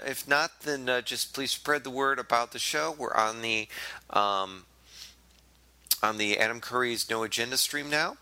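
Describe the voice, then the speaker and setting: A young adult man hosting a podcast, hoarse and raspy, talking in a casual, rambling, self-mocking way. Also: on the low side, pushed and bright, dry recording. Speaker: podcast host.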